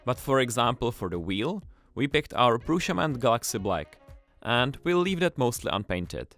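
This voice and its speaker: Male tutorial narrator, mid-pitched and animated, scripted and enthusiastic, faint music underneath. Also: moderate pace. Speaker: tutorial presenter.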